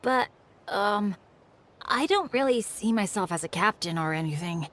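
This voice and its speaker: A woman's voice: mid-range voice, expressive, a little slow. A woman voicing a cheerful, modest teenage boy adventurer in a video game, with an easygoing manner. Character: upbeat teenage boy adventurer, humble and unlucky. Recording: game audio with soft music behind.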